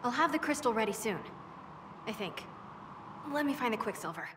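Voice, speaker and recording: A woman playing an eager, scatterbrained young dwarf arcanist in a fantasy game, chatty and excitable with halting asides. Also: fairly high pitch, close to an everyday voice. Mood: tentative, eager. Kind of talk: fantasy video game acting. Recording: distant hall with clear background noise.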